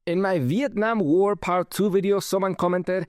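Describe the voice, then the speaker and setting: A man's voice: high-pitched, fairly even intonation, normal speed, a little mellow, dry recording, clean studio sound. Wry man with a German-Spanish accent. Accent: mix of German and Spanish. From born in Germany, raised in Chile. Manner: wry, conversational, occasional chuckles. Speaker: channel host. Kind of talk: casual commentary reading comments.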